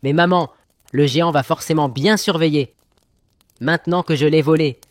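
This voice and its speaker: A man's voice: a little high, moderate pace, no room sound, natural voice. A man playing a sheepish, hesitant young son in a children's cartoon fairy tale.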